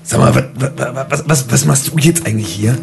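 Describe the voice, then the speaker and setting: A man's voice: mid-range voice, brisk, creaky, darkish tone, slightly rough, faint music behind. A man improvising a roleplay story in casual chat, stammering and repeating words as he goes. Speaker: tabletop roleplay player. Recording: faint noise behind voice.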